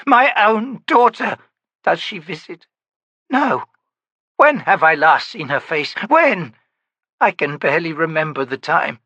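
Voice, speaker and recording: A terrified old mother wails and pleads, as a man puts her on, her voice pitched high and swinging wildly. The sound is dry and close. Each line breaks with desperation. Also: bright, forceful tone, no echo, exaggerated voice. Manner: shrill, desperate, wailing. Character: terrified frantic old woman, a grieving mother.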